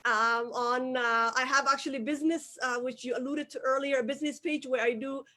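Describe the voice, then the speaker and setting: Lady, high voice, punchy, says things twice to drive them home. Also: fairly even intonation, a little fast, sharp tone. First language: Somali. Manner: emphatic, repeats key phrases for emphasis. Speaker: guest, business owner. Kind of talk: motivational interview talk.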